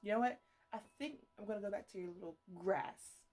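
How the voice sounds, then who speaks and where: A woman playing a chatty, easily exasperated botanist who mothers her plants in an improvised ASMR roleplay, talking in a halting, casual, playfully scolding ramble. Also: medium pitch, darkish tone, natural voice. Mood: mock scolding.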